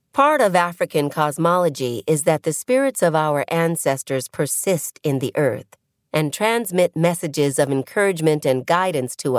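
A woman narrates an essay in a slightly low voice, recorded close and dry. Her delivery is measured and earnest, as a scripted reading. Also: no echo. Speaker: audio essay reader.

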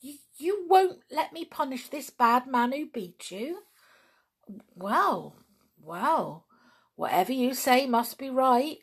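Woman as a puzzled, high-voiced storybook chimpanzee. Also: big pitch swings, slow pace, husky, heavily acted. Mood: puzzled, yielding. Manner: surprised questioning, then submissive. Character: loyal chimpanzee, puzzled but obedient, in a storybook.

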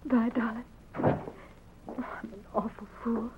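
A woman's voice: a little high, flat delivery, a little slow. A woman playing a worried, hesitant American wife and mother in an old radio Western. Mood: worried, tender. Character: anxious young frontier wife and mother. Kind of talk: 1940s Western radio drama acting. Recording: tinny, very noisy old radio recording.

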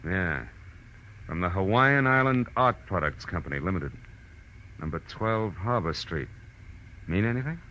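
An actor playing a tough, wry private detective in 1940s radio drama style, terse and dramatic. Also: male voice, low voice, expressive, unhurried, long pauses, sharp tone, slight background noise, exaggerated voice. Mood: brisk, wry. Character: tough, wry male private detective narrating his case. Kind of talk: 1940s radio drama acting, hard-boiled narration. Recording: muffled old radio recording with music.

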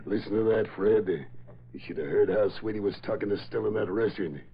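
A dull, noisy old radio recording of a bullying gangster henchman. The voice is low, slangy and sneering in classic crime-serial style. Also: moderate pace, gravelly. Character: taunting, bullying gangster henchman, tough and quick-tempered. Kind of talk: 1940s radio acting.

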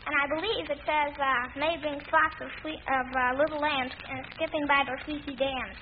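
High-voiced child quiz contestant, old radio. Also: fairly even intonation, moderate pace. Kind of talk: reciting poetry on a radio quiz. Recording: muffled, hissy old radio recording.

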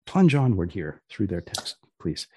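A man hosting a research podcast in a conversational way, with deliberate, dry-humored phrasing. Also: low-pitched, big pitch swings, darkish tone, breathy edge, no room sound. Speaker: podcast host. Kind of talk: conversational interview about research.

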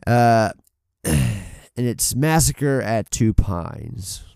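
A man's voice: lowish voice, lively intonation, very slow, vocal fry, a little mellow, no echo, studio quality. A man with a stuffy head cold, co-hosting a casual film podcast, rambling with many fillers and casual swearing into a desk mic. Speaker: podcast co-host. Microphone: desk mic on an arm.

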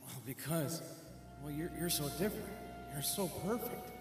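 An actor playing a timid, lonely, eccentric toymaker who speaks hesitantly in 1940s radio style. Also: male voice, fairly high pitch, expressive, normal speed, music in the background. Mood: nervous. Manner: hesitant, nervous.

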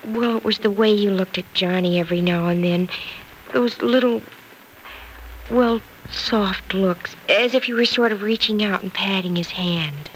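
A woman's voice: mid-range voice, unhurried, lightly acted. An actress playing an earnest, love-struck, girlish young woman in an old-time radio drama, with a breathless, wistful delivery. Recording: noisy old radio recording.

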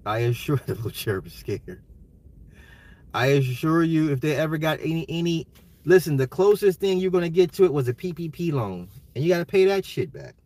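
Man, American accent, somewhat high pitch, dry recording, opinionated livestreamer, repetitive emphatic delivery, slight hoarseness. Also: lively intonation, fairly quick, darkish tone, no room sound. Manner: emphatic, repeats phrases, slangy, swears, chuckles. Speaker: livestream host. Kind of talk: casual opinionated livestream rant.

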